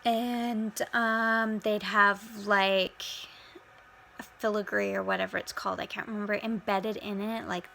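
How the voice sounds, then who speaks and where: A woman's voice: medium pitch, gravelly, pushed and bright, a little hiss or hum. A young Canadian woman rambling casually as she answers viewer questions, swearing freely and trailing off into whispers. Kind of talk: casual chat.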